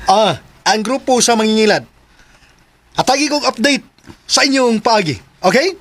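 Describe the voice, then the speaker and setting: A man's voice: very high-pitched, animated, normal speed, a bit bright, slight background noise. A man in a Bisaya radio drama playing a boastful gang leader of swindlers, lecturing in emphatic clipped phrases. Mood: smug, instructing.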